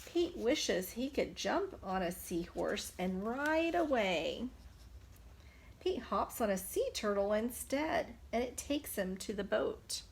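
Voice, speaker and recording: A woman's voice: medium pitch, big pitch swings, takes it slowly, creaky, slight background noise. A woman preschool teacher reading a picture book aloud, expressively, putting simple questions to young children. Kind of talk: children's storytime read-aloud.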